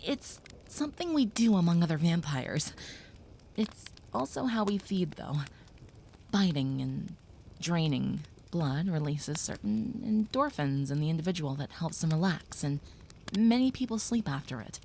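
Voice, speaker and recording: A woman voicing a gentle young female vampire who soothes a human listener, speaking softly and often in a whisper, in an audio roleplay. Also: sits low, lively intonation, unhurried, slight background noise. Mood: soothing.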